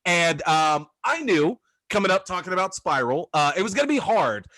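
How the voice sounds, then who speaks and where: A man's voice: sits high, very animated, moderate pace, pushed and bright, no echo. A man in his thirties hosting a podcast in a loose, casual way, swearing freely and filling his talk with "like" and "man.". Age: 30s. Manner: loose and profane, lots of "like" and "man". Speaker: podcast host. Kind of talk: casual chat.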